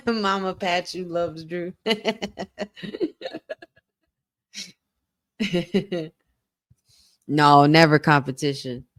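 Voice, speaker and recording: A woman co-hosting a wrestling watch-along, talking in an excitable, chatty fan style with lots of "like". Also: lowish voice, very slow, pauses often. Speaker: podcast co-host. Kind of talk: casual watch-along commentary.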